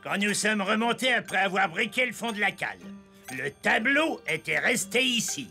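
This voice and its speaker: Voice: medium pitch, expressive, moderate pace, vocal fry, sharp tone, husky, soft background music, heavily acted. A voice actor playing a hearty toy pirate captain with an exaggerated sailor's drawl in a children's cartoon. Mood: explaining.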